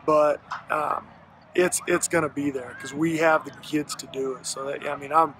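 An American man coaching high school football speaks in a bright, fairly high voice. He talks haltingly and folksily, doubling back on words. Faint outdoor noise from the field sits behind him. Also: fairly even intonation. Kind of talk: postgame interview. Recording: noisy outdoor field, vehicle-like ambience.

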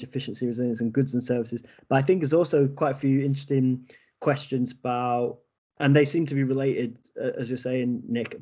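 Male moderator, dark relaxed voice at medium pitch, academic panel on slightly muffled video call. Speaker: seminar chair. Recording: dull video call audio.

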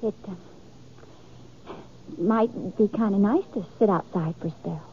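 A woman's voice: mid-range voice, slow pace. An actress playing an eager, proud and easily flustered frontier bride-to-be in a 1950s radio western, earnest and often restarting her words. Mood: coy, friendly. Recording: tinny, noisy 1950s radio recording.

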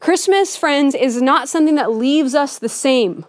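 A woman pastor giving a Christmas sermon in a church, conversational and earnest, often softening her points with "kind of.". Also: sits high, unhurried, no echo, studio quality. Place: church sanctuary with a Christmas tree.

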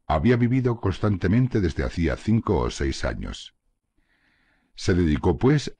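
A man narrates a classic novel in a very deep, husky voice, his pitch rising and falling with lively expression. The reading is measured and steady. The recording is dry and close, with no room sound. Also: no echo. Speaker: audiobook narrator. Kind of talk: scripted literary narration.

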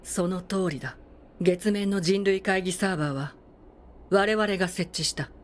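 An actress playing a stern, weary android military commander in a video game, formal and grave. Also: female voice, fairly low pitch, unhurried, natural voice. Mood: grave, resigned. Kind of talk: dramatic video game acting.

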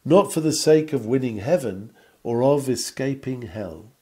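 Guy reading out an old sermon, mellow voice, earnest and measured, kinda oratorical. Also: medium pitch, animated. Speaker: sermon reader. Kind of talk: sermon read aloud, old-fashioned scripted text.

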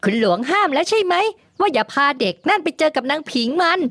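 A man's voice: extremely high pitch, sing-song, fast, fairly bright tone, dry recording, voice pushed up, heavily acted. A male narrator voicing a haughty, spiteful young woman who scolds shrilly and sweet-talks her master. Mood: scolding.

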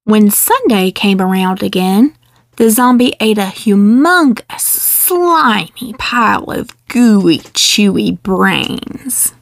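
A woman reading a picture book aloud in a measured, expressive storytelling delivery. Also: mid-range voice, gravelly, dry recording. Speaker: children's book reader. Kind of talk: scripted storybook read-aloud.

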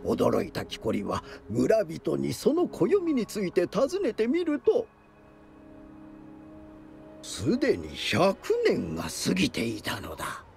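An actor playing a theatrical storyteller of eerie legends, speaking with deliberate suspense and a gravelly creak. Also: male voice, high-pitched, wildly swooping pitch, heavily acted. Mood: suspenseful, grave. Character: theatrical male storyteller spinning eerie mountain legends, dramatic, knowing. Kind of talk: dramatic oral storytelling. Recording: loud music under the voice.

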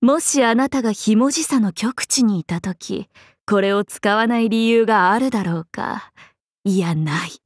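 A woman voicing a playful, cheerful young swordswoman in an anime mobile game, breezy, expressive and teasing like a big sister. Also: medium pitch, normal speed, dry recording, clean studio sound, lightly acted. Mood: mock solemn. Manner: breezy, expressive, teasing, casual with sudden formal turns.

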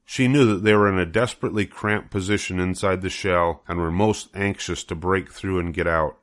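A man's voice: low-pitched, fairly even intonation, moderate pace. An older man narrating a children's book as a storybook reader.

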